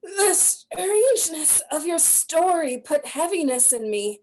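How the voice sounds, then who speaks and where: A woman's voice: very high-pitched, sing-song, slow, a little mellow, a little hiss or hum, exaggerated voice, low-quality recording. A woman playing a young, sheltered noble daughter in a Shakespearean radio play, speaking verse earnestly and theatrically. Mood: drowsy.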